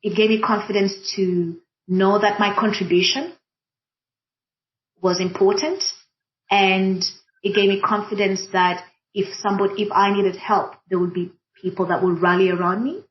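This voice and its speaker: A woman with a Kenyan accent, whose first language is Swahili, speaks as a guest in a reflective discussion. Her mid-pitched delivery is deliberate, often rephrasing for emphasis. The audio is a little dull and lo-fi. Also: fairly even intonation. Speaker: panel guest, diversity and inclusion practitioner. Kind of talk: reflective personal account in a group discussion.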